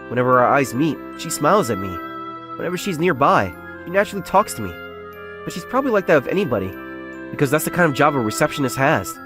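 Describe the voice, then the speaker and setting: A man acts out an earnest young salesman confiding his story in a romance comic dub. He doubts himself and sounds like a secret nerd. His delivery is brisk and highly animated, swinging expressively, with music clearly playing behind him. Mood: flustered. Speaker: narrator and lead character of a romance comic dub. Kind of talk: first-person dramatised narration.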